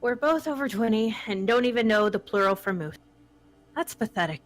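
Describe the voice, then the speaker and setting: A woman's voice: a little high, expressive, moderate pace, close to an everyday voice. A woman playing a playful, caring friend in her twenties, speaking conversationally in a dramatic script reading. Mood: casual, teasing.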